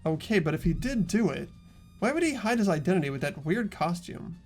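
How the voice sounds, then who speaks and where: An earnest teenage boy from a mystery video game, acted by a man. His voice sits a little high and swings with lively intonation. He recounts events like a young investigator over faint music. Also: brisk. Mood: questioning. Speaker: voice actor in a fan playthrough. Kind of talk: dramatic game dialogue and recap narration.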